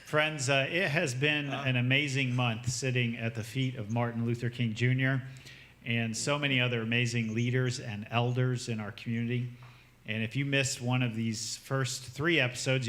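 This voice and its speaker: Guy wrapping up a church show, upbeat and inviting, mid-pitched voice. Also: fairly even intonation, a bit bright. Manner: upbeat, inviting, pauses on fillers. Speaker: church host. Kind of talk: closing remarks and announcements.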